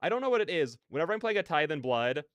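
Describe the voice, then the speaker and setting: Clear-voiced man rambling casually on a livestream. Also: on the high side, fast talker, no room sound, muffled recording. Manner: rambling, animated slangy asides, self-interrupting. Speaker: livestreamer, content creator. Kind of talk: casual livestream rant and chat.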